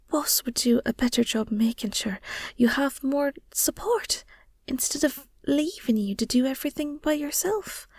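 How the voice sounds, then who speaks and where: A woman's voice: sits high, dry recording. A woman playing an affectionate, playful and protective werewolf girlfriend in an intimate audio roleplay, tender and teasing, often murmuring or whispering. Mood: concerned, gentle.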